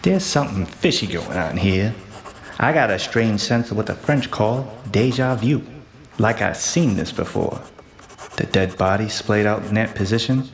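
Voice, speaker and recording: A man's voice: fairly low pitch, expressive, normal speed, slight background noise. A man playing a jittery noir sidekick. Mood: brooding. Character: jittery, bumbling detective sidekick. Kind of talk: 1940s-style radio play acting with inner monologue.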